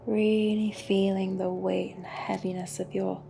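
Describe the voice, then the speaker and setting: Very slow, soft-voiced woman guiding meditation. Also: medium pitch, flat delivery, gravelly, slight background noise. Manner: gentle, unhurried, with long pauses. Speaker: meditation guide. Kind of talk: guided meditation, spoken softly and soothingly. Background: music.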